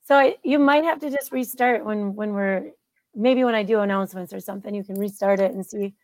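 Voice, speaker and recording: A woman's voice: mid-range voice, fairly quick, a little mellow, no room sound. A woman hosting a live online show over a video call, upbeat and encouraging. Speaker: host.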